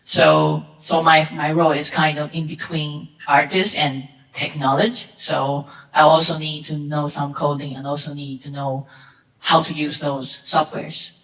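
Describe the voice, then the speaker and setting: Low woman, hesitant repeated words, interview guest, echoey theater. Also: level tone, unhurried, a bit bright. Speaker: guest, pipeline technical director. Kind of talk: panel interview answers. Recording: echoey hall.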